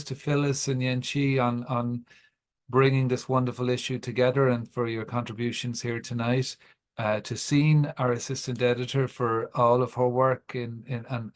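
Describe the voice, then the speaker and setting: A man chairing an academic event, giving courteous, appreciative closing remarks with halting pauses. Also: medium pitch. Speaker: panel chair.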